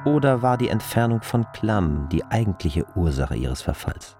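A man's voice: fairly low pitch, very animated, moderate pace, mellow. A man giving reflective literary narration in a radio drama. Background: music.

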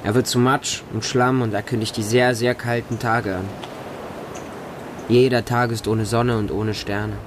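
A man's voice: mid-range voice, level tone, a little slow, noisy background, natural voice. An actor narrating a radio drama as a bleak, hardened homeless Russian street boy, in short, stark sentences. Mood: desolate. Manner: short, plain, stark present-tense sentences.

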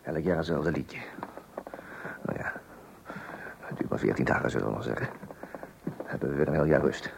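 Flustered husband, noisy old recording. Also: male voice, rumbling low, moderate pace, gravelly, long pauses, heavily acted. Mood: anxious muttering. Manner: muttering, stammering, fussy. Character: flustered, bossy husband and father, hunting a burglar at night. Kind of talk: radio comedy play acting and narration. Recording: noisy, clicky old radio play recording.

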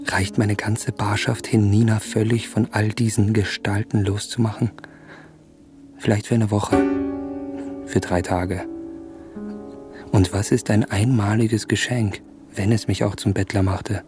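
An actor playing an earnest, dreamy young gentleman in a radio drama, speaking in an introspective, literary manner. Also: fairly low pitch, flat delivery, unhurried, long pauses, close to an everyday voice. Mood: troubled wonder. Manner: introspective, literary inner monologue. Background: instrumental music.

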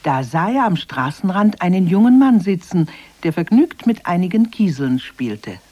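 A woman's voice: on the low side, sing-song, normal speed, a little mellow, no echo, muffled recording. A woman narrating a scripted fairy tale for children in a radio play.

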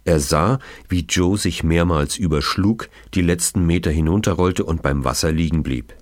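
A man's voice: low-pitched, normal speed, no echo. A man reading a children's novel aloud as dramatic storybook narration. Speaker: audiobook reader.

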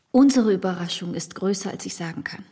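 A husky, breathy woman's voice of middle pitch, an affectionate but distressed married gentlewoman. She speaks gravely and hesitantly, full of feeling, in the manner of a period radio play. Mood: hesitant, troubled. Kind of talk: period radio drama acting.

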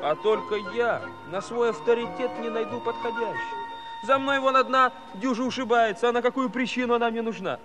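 High-voiced, cocky village flirt. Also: male voice, fairly quick, music in the background. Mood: cocky teasing. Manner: teasing, bragging, rustic. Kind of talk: theatrical musical comedy acting.

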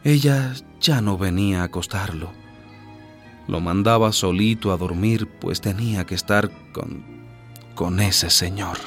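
A man's voice: sits low, measured pace, faint music behind. A man narrating a radio drama as a scripted story, with a grave, dramatic delivery.

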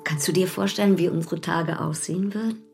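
A seductive lover with gravelly fry. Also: female voice, on the low side, fairly even intonation, fairly quick, darkish tone, faint music behind. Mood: insistent, probing. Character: passionate, insistent married woman, seductive lover. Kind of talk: German radio drama acting.

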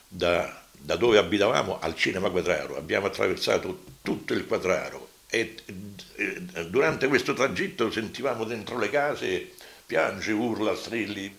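An older man gives testimony in a very low voice with a lot of creak. His accent is Roman and he speaks as a witness recalling the past. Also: fairly bright tone. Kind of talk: spoken testimony.

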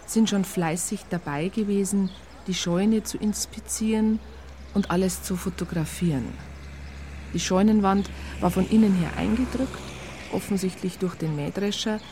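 An actress playing a wry storyteller with a Bavarian accent, narrating a radio crime drama in a colloquial, unhurried way. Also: female voice, fairly low pitch, mellow, a little hiss or hum, close to an everyday voice. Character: wry female storyteller recounting police case files.

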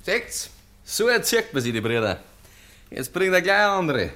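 A man's voice: sits high, very animated, moderate pace, sharp tone, heavily acted. A man playing a sneering, bullying tramp in a radio drama, full of mockery. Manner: mocking, sneering. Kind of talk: German radio drama acting.

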